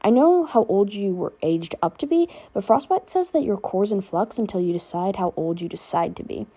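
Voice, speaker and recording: Sardonic, affectionate big-brother teen boy, female reader, casual rambling delivery, soft dark tone, slightly raspy. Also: medium pitch, expressive, normal speed. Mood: reassuring, excited. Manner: casual, rambling teen talk with filler words. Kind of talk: fan fiction audiobook character dialogue.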